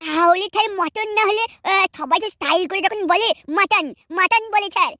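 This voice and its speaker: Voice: very high voice, a little fast, pushed and bright, no room sound, clean studio sound, full character voice. A performer voicing a cheeky, boastful schoolboy in a cartoon, who whines when he is caught out. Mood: eager. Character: cheeky loud-mouthed schoolboy. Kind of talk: cartoon voice acting.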